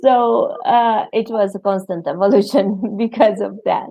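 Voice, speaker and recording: A middle-aged woman from Romania with a Romanian accent, answering interview questions haltingly as she searches for words in English. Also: mid-range voice, steady tone, dark tone, dry recording, low-quality recording. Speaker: interview guest.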